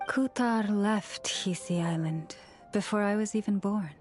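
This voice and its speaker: A woman playing a young moon priestess in a video game, solemn, gentle and earnest, speaking in a measured, formal, reverent way. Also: mid-range voice. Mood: solemn, wistful. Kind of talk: video game cutscene acting.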